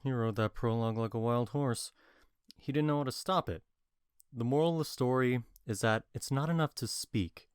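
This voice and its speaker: A man's voice: medium pitch, moderate pace, smooth, no echo, studio quality, natural voice. A man playing a mocking courtier in audio drama dialogue. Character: mocking male courtier critiquing a play.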